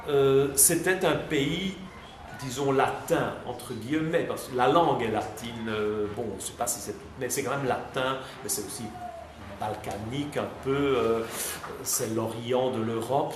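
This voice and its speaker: A man's voice: mid-range voice, measured pace. Chatty man in an echoey classroom. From Belgium. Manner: chatty, hesitant, many fillers and restarts. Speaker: visiting guest answering students. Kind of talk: relaxed spontaneous talk. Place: high school classroom. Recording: echoey classroom with background noise.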